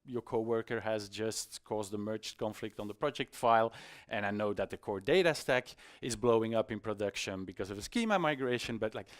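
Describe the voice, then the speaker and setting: A middle-aged man with a fairly low voice speaks as a conference presenter. His delivery is informal and conversational, with a self-deprecating manner and hesitant phrasing. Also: brisk. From Belgium. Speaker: conference speaker, iOS developer. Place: conference stage in a hall.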